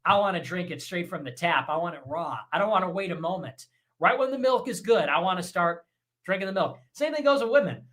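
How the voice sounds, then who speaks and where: A man with a fairly high, bright and sharp voice delivers a brash, mocking rant. He talks in quick bursts and comes across as provocative. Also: lively intonation. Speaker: online streamer in a clip.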